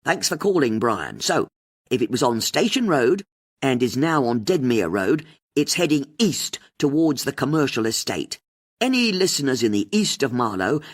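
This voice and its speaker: Man voicing an upbeat radio presenter lady, breezy, bouncy intonation, a bit raised, husky edge. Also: mid-range voice.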